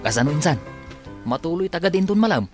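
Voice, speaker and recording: An actor playing an earnest, hardworking young farm worker in a radio drama. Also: male voice, fairly high pitch, expressive, fast talker, music in the background, close to an everyday voice. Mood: reassuring.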